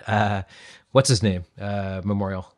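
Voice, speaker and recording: A man's voice: on the low side, fairly even intonation, unhurried. A man chatting casually as a podcast host, with a dry, wry way of talking. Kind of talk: casual fan chat.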